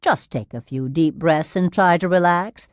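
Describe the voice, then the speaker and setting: A woman's voice: on the low side, normal speed, no room sound, clean studio sound, natural voice. A woman reading an audiobook as a severe nurse who talks in a syrupy, falsely soothing, patronising and overly reasonable manner. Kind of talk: dramatised audiobook narration.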